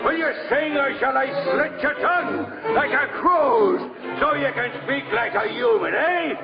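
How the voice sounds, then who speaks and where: An actor playing a huge, cruel bully in an old radio drama, taunting and bombastic in a theatrical way. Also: male voice, very high voice, measured pace, loud music behind the voice. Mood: menacing taunting. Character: huge cruel bullying man mocking a captive. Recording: muffled 1950s radio recording, crowd noise.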